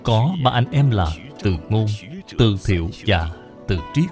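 A man narrates a moral tale in scripted storytelling style. His pitch moves in a lively way, and faint music plays behind him. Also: unhurried, roomy sound, long reverb tail.

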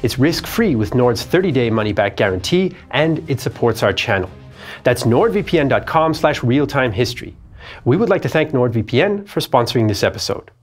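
Male history host, 30s, expressive scripted read; light music bed under the voice. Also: mid-range voice, normal speed. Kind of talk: scripted documentary narration. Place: dark studio set.

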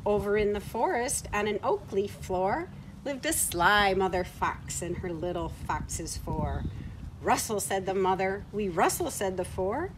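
A middle-aged woman reading a picture book aloud to children in an expressive way, recorded outdoors. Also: mid-range voice, a little slow. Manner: expressive, acting out short quoted words. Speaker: children's story time reader. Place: outdoors on a farm, beside horses. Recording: outdoor ambient noise from camera mic.

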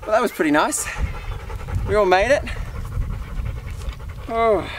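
A man's voice: high-pitched, lively intonation, slow pace, poor audio. A man in his forties with an Australian accent, chatting casually to the camera in his car and on a hike with his dogs. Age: 40s. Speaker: vlogger. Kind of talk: casual vlog talk to camera. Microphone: camera held at arm's length or mounted on the dash. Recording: outdoor camera audio with clear background noise.

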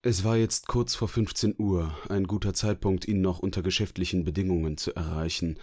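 A male narrator with a low-pitched voice reads a novel aloud. The delivery is scripted storytelling in the manner of an audiobook. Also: fairly even intonation, brisk, darkish tone.

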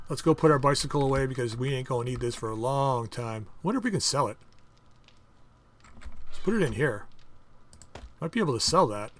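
A man hosting a casual game playthrough, chatting and thinking aloud as he crafts items, with his voice going a bit hoarse. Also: mid-range voice, a bit bright. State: losing his voice. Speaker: gaming channel host. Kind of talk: casual let's-play commentary.

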